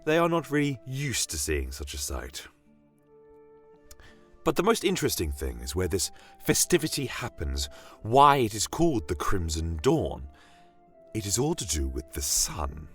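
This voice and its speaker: A man's voice: lowish voice, wildly swooping pitch, measured pace, a bit bright, breathy edge, faint music behind, heavily acted. A man playing a genial fantasy doctor and alchemist, telling tales of his world in a formal, florid, theatrical way. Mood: wondrous, storytelling. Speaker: in-character narrator. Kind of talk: scripted in-character fantasy storytelling.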